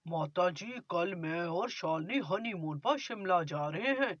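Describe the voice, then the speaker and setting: A patient young husband pleads mildly in a lowered voice, as a woman acts him out. Dull, dry recording. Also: expressive, measured pace, no echo. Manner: low, mild. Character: young gentle devoted son and husband.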